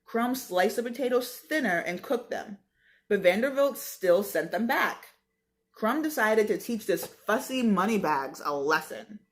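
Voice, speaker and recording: A woman's voice: medium pitch, animated, a little slow. An American woman with a mid-range voice reads aloud as a teacher would. She is conversational and chatty, mixing the text with informal explanations. She talks straight to her listeners. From New York state, United States. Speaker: teacher reading a book aloud to students. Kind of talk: read-aloud with casual explanatory asides.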